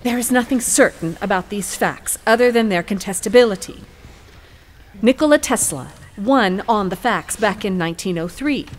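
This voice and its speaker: A woman's voice: mid-range voice, slight background noise, natural voice. A woman playing an assertive lawyer in a courtroom audio drama, arguing formally and rhetorically.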